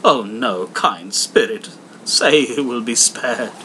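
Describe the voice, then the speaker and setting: A man acts out an old storybook miser full of worry and penitence. He pleads haltingly in a male voice, with a low rumbling noise underneath. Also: animated, sharp tone, rough recording. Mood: pleading. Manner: pleading, hesitant. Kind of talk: dramatic audiobook character reading.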